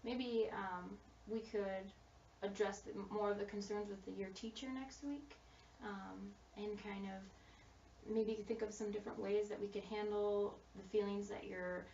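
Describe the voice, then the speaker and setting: A woman acting as a school social worker in a counseling role-play, speaking gently and reassuringly with frequent fillers and affirmations. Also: medium pitch, steady tone, unhurried, gravelly, a little hiss or hum. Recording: distant, roomy, dull recording.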